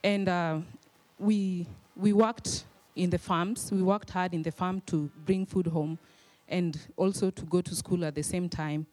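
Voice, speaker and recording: A young woman from Kenya with a Kenyan accent, telling her life story in church in a modest, hesitant way with soft fillers. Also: on the low side, steady tone, a little slow. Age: young adult. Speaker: guest speaker. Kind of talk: personal testimony. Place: church hall.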